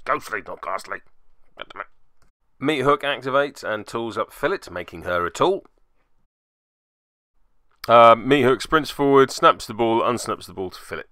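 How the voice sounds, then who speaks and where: A man's voice: mid-range voice, animated, measured pace, long pauses, pushed and bright, no room sound, studio quality. A man hosting and narrating a tabletop game move by move, with theatrical flourishes and wry asides. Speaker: podcast host and player.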